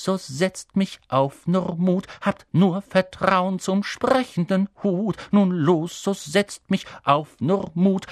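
A man voicing an old, boastful magic hat that sings its rhymes in a drawn-out, singsong way. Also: high-pitched, dry recording, studio quality, pitched above the performer's own voice. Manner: singsong rhyming verse, drawn out.

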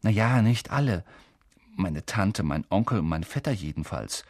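A man voicing a quiet, modest eleven-year-old boy, close to his own voice. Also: sits low, lively intonation, normal speed, dry recording.

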